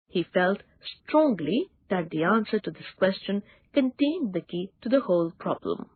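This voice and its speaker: A woman's voice: medium pitch, husky. A woman narrating a children's story as a scripted storyteller. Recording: band-limited, tinny, lo-fi audio.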